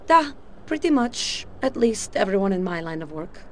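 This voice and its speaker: A woman's voice: mid-range voice, sing-song, normal speed, a little hiss or hum, lightly acted. An actress voicing a sultry female Russian KGB spy with a Russian accent in a video game cutscene, cool and confident. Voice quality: cool, confident, dry delivery.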